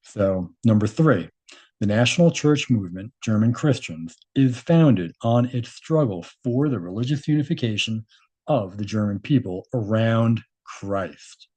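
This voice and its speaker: A man's voice: on the low side, lively intonation, measured pace, darkish tone, slightly rough. A man reading a document aloud and adding informal, opinionated commentary of his own.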